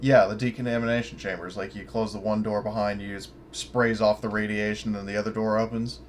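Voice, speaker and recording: A man's voice: fairly low pitch, little pitch movement, a little fast, a little hiss or hum. A man playing a tabletop roleplaying game, chatting casually and thinking aloud as he describes his character's actions.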